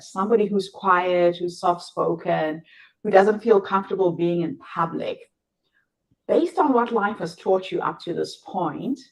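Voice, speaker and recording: Host whose first language is Shona. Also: female voice, on the low side, rough recording. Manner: warm praise, careful slow questions. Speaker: interview host. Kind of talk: online summit interview.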